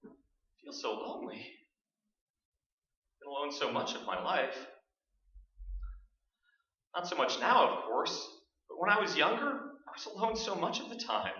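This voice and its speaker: A man's voice: a little high, very animated, a little slow, long pauses, pushed and bright, heavily acted. A man in a staged radio play, playing a nervous, rambling broadcaster alone in a haunted house, with a jittery, stammering delivery. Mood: anxious, lonely. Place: large hall stage, staged performance. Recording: very echoey stage recording from far off, muffled.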